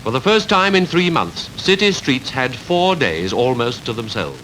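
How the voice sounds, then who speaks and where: Formal British newsreel man, lively intonation. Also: medium pitch, slight background noise, low-quality recording. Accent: British Received Pronunciation. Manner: formal, measured, rhetorical delivery. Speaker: newsreel narrator. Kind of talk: scripted 1950s newsreel narration. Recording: 1951 archive newsreel with music behind.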